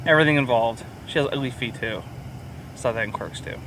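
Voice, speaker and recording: A man co-hosting a podcast, chatting casually about films in an animated, sometimes crude way. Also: mid-range voice, a little fast, slight background noise. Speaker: podcast co-host. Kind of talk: casual film review chat.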